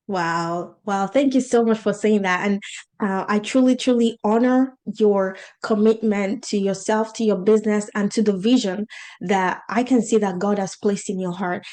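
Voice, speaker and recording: Female podcast host and coach, Nigerian accent, medium pitch, earnest and emphatic interviewing. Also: moderate pace, darkish tone. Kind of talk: conversational interview.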